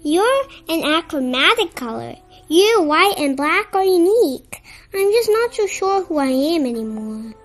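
A child reading a children's picture book aloud in an expressive, somewhat halting way, with stumbles. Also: very high voice, soft background music. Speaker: storybook reader.

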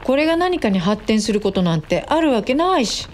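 A woman playing a chatty, teasing female office worker in a radio drama, expressive and gossipy. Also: on the high side, brisk, slight background noise.

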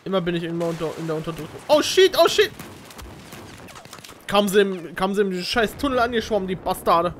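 A man's voice: high-pitched, big pitch swings, brisk, fairly bright tone, a little hiss or hum. A young man commentating a game casually with a friend, slangy, repeating himself and mixing in English. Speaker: gaming video commentator. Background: shooter game gunfire and ambience.

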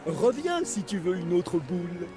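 Cheerful male cartoon rabbit vendor, very animated, welcoming, music behind. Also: high voice, measured pace. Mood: cheerful, inviting. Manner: sing-song, welcoming. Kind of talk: children's cartoon game acting.

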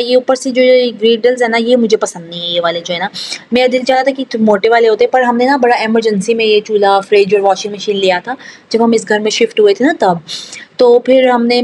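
A woman from South Asia doing a casual, chatty vlog voice-over in a rambling, conversational way with repeated filler phrases. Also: on the high side. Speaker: home vlogger.

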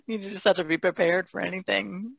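A woman's voice: medium pitch, sing-song, a little slow, vocal fry, a bit bright, husky, no room sound. A woman artist chatting casually on a podcast, informal and hedging as she goes. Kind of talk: casual chat.

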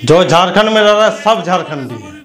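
Guy in his 30s, high voice, sweary scornful political rant into a lapel mic. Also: sing-song, normal speed, a crowd in the background. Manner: scornful, abusive, confrontational. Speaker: news commentator. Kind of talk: combative political rant to camera.

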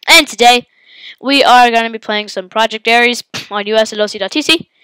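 A congested woman hosting her own gaming channel talks through a match as she plays. She yelps and exclaims at every turn in a fairly high, bright, forceful voice. Her voice is stuffy from a head cold, and the sound is dry and close. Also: fairly quick, clear, resonant tone, no echo, low-quality recording. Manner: excitable, yelps and exclaims at the game. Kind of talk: live gameplay commentary.

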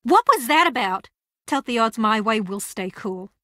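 An intense, accusing young rival in a video game. Her fairly high voice swings widely in pitch. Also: animated, normal speed, sharp tone, no echo, clean studio sound. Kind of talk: dramatic video game acting.

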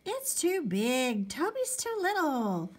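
A woman's voice: high voice, sing-song, takes it slowly, pitched above the performer's own voice, exaggerated voice. A woman voicing a bossy older boy in a storybook, complaining and mocking. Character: bossy, taunting older boy, the big brother.

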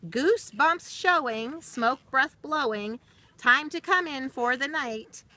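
A woman's voice: high-pitched, expressive, slow pace, bright, forceful tone, no echo. A middle-aged woman reading aloud to children as a storyteller, recorded outdoors on a camera a short distance away. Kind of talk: read-aloud of a rhyming picture book with casual asides. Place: outdoors in a snowy backyard. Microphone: camera or phone a short distance away. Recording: outdoor phone video, faint background noise.

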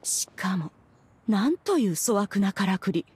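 A woman voicing a proud immortal mistress in a Japanese video game, with a husky voice and regal, archaic, haughty delivery. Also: medium pitch, very animated, unhurried, darkish tone, full character voice. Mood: indignant.

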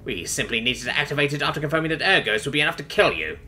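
A man voicing a haughty, boastful old noble magistrate with a gruff delivery, in an audiobook. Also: mid-range voice, very animated, a little fast, bright, forceful tone, soft background music. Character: pompous, smug old male noble magistrate, villain. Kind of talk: audiobook character voice.

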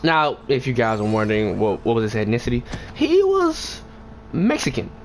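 A man's voice: mid-range voice, very animated, normal speed. A young man telling a casual, rambling story for a gaming video, swearing often in slangy speech and getting emphatic when indignant. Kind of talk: casual storytime over gameplay. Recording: clear background noise and ambience.

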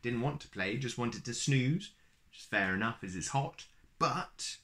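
A man in his thirties reading a picture book aloud to young children in an expressive, deliberate storytelling manner. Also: medium pitch. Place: small plain room indoors.

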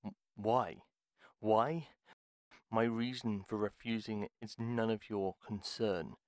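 A man playing a gruff, wary retired pharmacist in a fantasy audio roleplay, speaking in a formal, deliberate, theatrical way. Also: fairly low pitch, steady tone, darkish tone, no room sound, studio quality. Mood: irritated, reluctant. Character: gruff, reluctant retired male pharmacist, courteous but wary. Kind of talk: dramatic audio roleplay monologue.

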